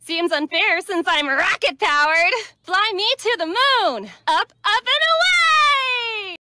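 A woman's voice: very high-pitched, expressive, takes it slowly, bright, forceful tone, no echo, clean studio sound, heavily acted. A woman playing a daring, cocky young rocket girl in perky video game lines. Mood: cocky excitement. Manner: perky, confident.

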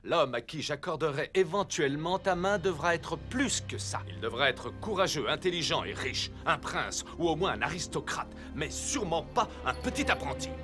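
Stern old father with animated pitch, man acting. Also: normal speed, hardly any pauses, music in the background, natural voice. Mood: pompous. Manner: pompous, commanding. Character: stern, haughty old father, magician bookseller. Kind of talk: French-dubbed children's cartoon acting.

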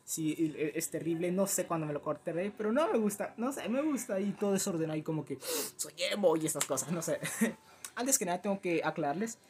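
High-voiced young Latin American man, rambling. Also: fairly quick. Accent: Latin American Spanish. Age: teens to early twenties. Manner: animated, rambling, self-deprecating. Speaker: YouTuber reacting to a fan dub. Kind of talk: casual chat to camera. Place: small cluttered room at home.

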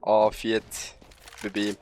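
A man's voice: on the low side, monotone, slow pace, darkish tone. A man in casual online game voice chat, talking in a cheeky, mock-deferential way. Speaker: online shooter player.

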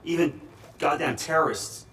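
A man's voice: fairly high pitch, moderate pace, a bit bright, roomy sound, slight background noise, close to an everyday voice. A man playing a hot-headed, foul-mouthed punk hacker in a video game, brash and explosive. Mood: riled up. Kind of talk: video game cutscene acting.

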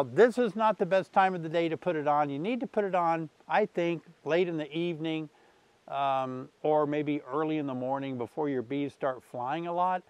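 A man's voice: medium pitch, a little mellow, no room sound. A man in his sixties hosting a beekeeping vlog outdoors by his hives, explaining in a friendly, easygoing way with fillers, on a lapel mic. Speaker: beekeeping channel host. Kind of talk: casual instructional vlog. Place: outdoors beside beehives.

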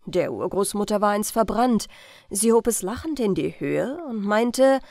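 A woman narrates an audiobook story with careful phrasing and a very lively, swinging melody. Her voice sits at a middle pitch. The sound is dry and close, with no room around it. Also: unhurried, dry recording. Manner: expressive, carefully phrased read-aloud. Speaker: audiobook reader. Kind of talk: scripted storytelling narration of old family tale.

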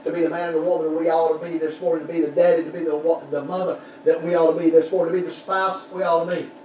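An older man preaching a sermon in a small church, building to shouted peaks and dropping to quiet prayer. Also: a little high, little pitch movement, fast. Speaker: pastor. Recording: roomy church echo from far off, background noise.

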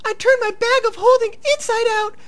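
A fantasy elven girl adventurer for a radio play. The voice is pitched very high, affected and theatrical. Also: fairly even intonation, moderate pace, very noisy. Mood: lofty, theatrical. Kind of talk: live radio play acting.

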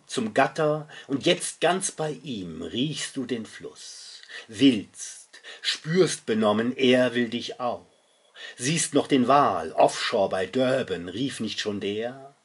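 A middle-aged man reciting his own literary poetry in a solemn, dramatic and deliberate manner. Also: medium pitch, slightly rough. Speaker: poet reading own work.

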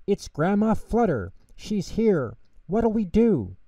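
Tiny nervous storybook mouse played by a male reader, high voice, very animated, fussy and repetitive. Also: slow, no room sound. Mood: anxious, flustered. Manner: breathless, fretting, repeats words. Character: small excitable anxious male mouse, fretful grandson.

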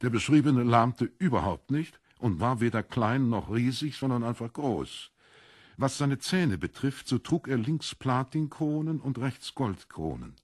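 Low, husky male audiobook narrator. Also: dry recording, clean studio sound. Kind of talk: scripted literary narration.

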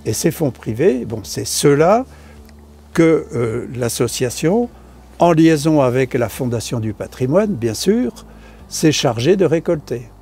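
Older man, mellow husky voice, hesitant. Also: fairly high pitch, very animated, measured pace, faint music behind. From France. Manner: hesitant, many fillers and restarts. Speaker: association member. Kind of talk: interview answers, casual explanation. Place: outdoors on a stone chapel tower balcony.

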